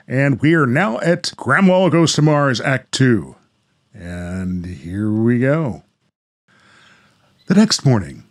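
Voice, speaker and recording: A man hosting and narrating a radio play in a theatrical, dramatic storyteller style. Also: mid-range voice. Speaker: host and narrator.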